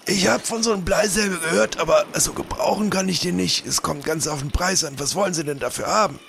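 A man's voice: high-pitched, fast talker, gravelly, fairly bright tone, slight background noise, voice pushed up. A man voicing a timid, simple character with a hesitant, naive manner in an improvised audio play.

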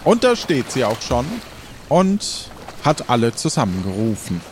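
A man's voice: mid-range voice, big pitch swings, unhurried, a little hiss or hum. A man casually improvising narration and banter as game master of an audio play. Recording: music behind the voice.

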